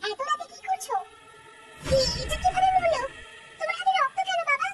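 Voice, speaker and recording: A woman's voice: extremely high pitch, fairly quick. A woman voicing an anxious, caring young daughter in a cartoon, full of worried questions. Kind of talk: cartoon drama voice acting. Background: music.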